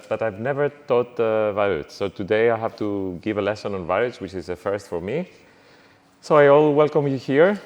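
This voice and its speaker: A middle-aged man lectures to students, and his speech halts often with fillers and restarts. His voice sits on the low side. The sound carries the long reverb of a lecture hall. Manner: halting, many fillers and restarts. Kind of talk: university lecture.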